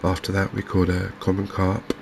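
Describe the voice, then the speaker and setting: A man hosts a game in a soft ASMR style. His voice is low and very monotone, with little rise or fall. He talks haltingly and with gentle understatement, over noticeable background noise. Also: vocal fry. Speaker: gaming channel host. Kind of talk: softly spoken ASMR game commentary.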